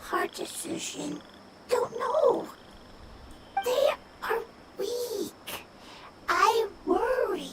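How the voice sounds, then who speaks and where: A woman voicing a small, simple forest creature in a video game, speaking in halting broken phrases over music. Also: extremely high pitch, lively intonation, very noisy, heavily acted. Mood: worried, hesitant. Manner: broken one- or two-word phrases, halting. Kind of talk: video game character acting. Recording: music playing behind the voice.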